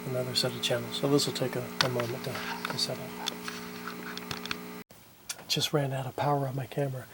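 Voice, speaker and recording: A man talking casually through his repair work at a home workbench, thinking aloud and halting with fillers, recorded on a camera microphone. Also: mid-range voice, low-quality recording. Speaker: hobbyist synth repairer. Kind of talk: casual narration while working. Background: synthesizer being played.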